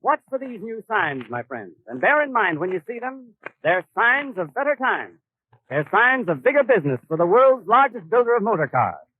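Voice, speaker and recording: A man's voice: sits high, sing-song, normal speed, no room sound. An American man announcing a 1930s radio commercial in an emphatic, formal salesman's manner. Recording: narrow, muffled 1930s radio broadcast.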